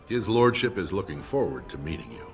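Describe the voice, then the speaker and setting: Loyal noble's retainer, deep voice, polite formal delivery, fantasy game, light music behind. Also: male voice, sing-song, brisk. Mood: pleased, courteous. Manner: formal, deferential. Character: courteous, loyal aide to a lord.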